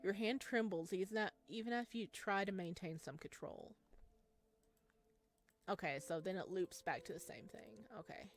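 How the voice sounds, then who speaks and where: A woman plays a game aloud over background music. She moves between dramatic reading of on-screen narration and casual commentary, in a mid-pitched voice. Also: normal speed.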